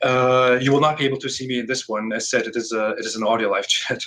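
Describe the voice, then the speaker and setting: Guy hosting an audio call, pretty monotone, keeps restarting sentences. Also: medium pitch, fast. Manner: hesitant, frequent restarts. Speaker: host.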